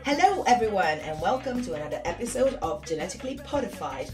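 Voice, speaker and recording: A woman's voice: medium pitch, sing-song, fast, over music. A young woman co-hosting a casual science podcast, a little nasal from a cold and laughing often. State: has a cold, slightly nasal. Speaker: podcast co-host.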